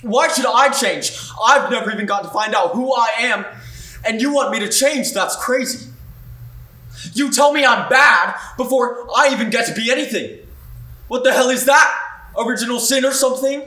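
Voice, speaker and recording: An actor performing a monologue as a defiant young male student, proud and hurt, confrontational and building to shouts. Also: very high-pitched, moderate pace. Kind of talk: dramatic monologue. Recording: roomy sound, mic far off.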